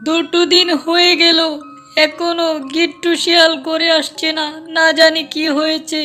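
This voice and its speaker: A woman's voice: very high voice, monotone, moderate pace, bright, forceful tone, faint music behind. A woman voicing an anxious, nagging mother fox, a cautious wife in a cartoon. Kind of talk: animated storybook cartoon dialogue.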